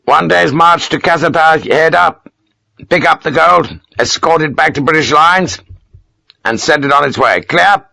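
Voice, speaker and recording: A man voicing a gruff, pious older army major who talks in curt, self-important bursts. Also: fairly high pitch, measured pace, bright, forceful tone, no room sound, voice pushed up, heavily acted, low-quality recording. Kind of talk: audiobook character voice.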